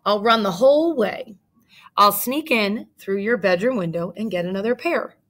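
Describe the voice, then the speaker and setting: A woman reading a storybook aloud and voicing an eager, confident young boy who talks quickly and boastfully. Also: medium pitch, fairly bright tone, natural voice.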